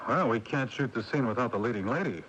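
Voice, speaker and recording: A courteous film director in a radio mystery. The voice is mid-pitched and sounds dull, with faint rumble from an eighties broadcast tape. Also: male voice, expressive, brisk, sharp tone. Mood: concerned. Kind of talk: radio mystery drama acting.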